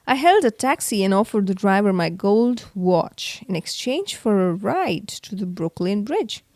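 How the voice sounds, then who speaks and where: A woman reading a children's story aloud in an expressive, theatrical way. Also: medium pitch, a little slow, no echo, clean studio sound. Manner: theatrical, acts out the exclamations. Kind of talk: expressive read-aloud narration for kids.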